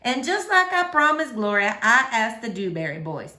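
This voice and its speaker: A woman narrating a children's story aloud in an expressive storytelling style. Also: fairly high pitch, moderate pace, reverberant. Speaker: storybook reader.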